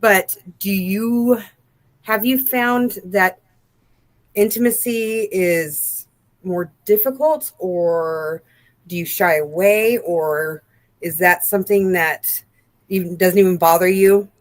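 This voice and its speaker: A woman's voice: medium pitch, slow. A woman in her thirties asking questions over a video call from home, in a friendly, curious and giggly way. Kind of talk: casual video-call interview. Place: home room.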